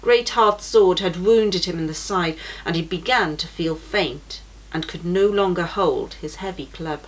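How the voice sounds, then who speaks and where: A woman's voice: lowish voice, low-quality recording. A young woman reading a children's storybook aloud for a church story time, shifting her voice for the characters. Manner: gentle, shifts voice for characters in quotes.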